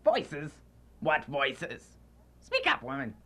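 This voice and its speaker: A man playing a miserly, grumpy old man in a student radio drama, scornful, gruff and easily spooked. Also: a little high, huge pitch swings, slow pace, sharp tone, full character voice. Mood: irritated, gruff. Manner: gruff, irritable, declamatory.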